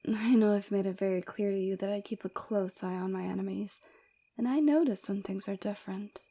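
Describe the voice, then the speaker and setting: A woman playing a flirty, forward villain and rival warrior in an ASMR roleplay, teasing and coy with a breathy voice, close to the microphone. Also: medium pitch, brisk, dark tone, close to an everyday voice. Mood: low, probing. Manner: teasing, coy, seductive, often dropping to breathy whispers.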